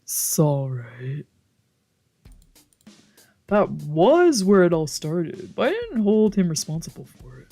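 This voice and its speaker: A woman voicing a low-key, probing male protagonist in a visual novel, casual and understated. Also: huge pitch swings, slow, pauses often, mellow, no room sound, faint music behind, full character voice. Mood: calm, reassuring. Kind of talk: visual novel character read-aloud.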